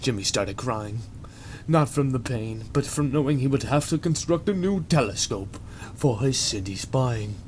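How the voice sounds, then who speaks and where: A man's voice: mid-range voice, animated, normal speed. Man narrating a story over audible noise. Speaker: narrator of a fan fiction reading. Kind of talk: dramatic scripted narration.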